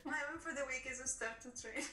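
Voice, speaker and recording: A woman's voice: sits high, fast talker, sharp tone. A very tired, giggly woman with a Spanish accent chatting casually in a group webinar. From Spain. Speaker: webinar participant. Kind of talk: casual chat. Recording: roomy, distant webinar mic with faint noise.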